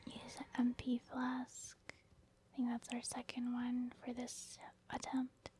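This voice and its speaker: A woman's voice: on the high side, completely flat delivery, takes it slowly, dark tone, slight background noise. A woman doing ASMR game commentary in a whisper, casually explaining her thinking as she plays. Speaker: gaming ASMR video creator.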